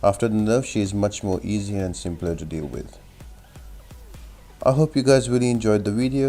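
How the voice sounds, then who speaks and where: A man's voice: fairly low pitch, warm tone. A man giving an instructional, step-by-step gameplay tutorial commentary as the host of a game guide.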